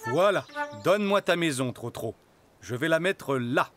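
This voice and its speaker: A man voicing a gentle, patient father donkey in a cartoon, talking softly and hushing his son. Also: on the high side, expressive, unhurried. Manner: soft, hushed, patient, shushing. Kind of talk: cartoon voice acting. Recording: music bed under dialogue.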